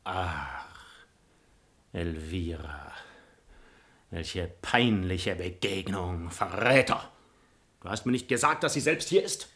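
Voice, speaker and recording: A man's voice: sits low, animated, slow pace, long pauses, fairly bright tone, heavily acted. An actor playing an arrogant, seductive nobleman in a classical radio play, eloquent, theatrical and self-assured. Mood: startled, annoyed.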